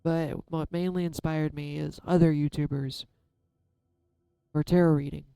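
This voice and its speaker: Man, tarot reader, soft-spoken, smooth dark tone, candid vlog delivery, faint music underneath. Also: fairly high pitch, level tone, a little slow, dry recording. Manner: candid, self-deprecating, musing aloud. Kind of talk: casual reflective vlog.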